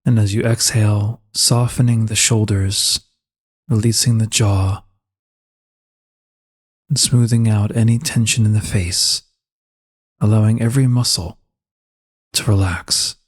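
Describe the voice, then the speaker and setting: Male meditation guide, low-pitched and husky, calm and soothing, giving gentle unhurried instructions. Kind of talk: slow guided meditation narration.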